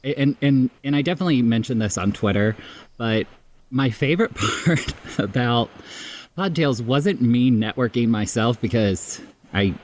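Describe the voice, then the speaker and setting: A man's voice: medium pitch, expressive, moderate pace, darkish tone. A man guesting on a podcast, chatting and telling stories in an exuberant, giggly, self-mocking way. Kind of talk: casual chat, animated storytelling.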